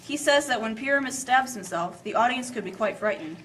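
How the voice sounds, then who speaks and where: A woman's voice: a little high, animated, fairly quick, fairly bright tone. Woman narrator, slightly distant, playful. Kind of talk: scripted story narration with playful asides. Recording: slightly distant, faint background noise.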